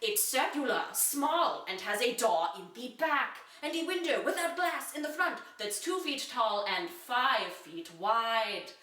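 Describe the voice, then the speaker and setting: Female storyteller, slow and deliberate, bright tone, dramatic reading of children's fantasy, set back from the mic. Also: on the high side, big pitch swings, recorded from a distance. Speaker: reader. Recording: echoey room, away from the mic.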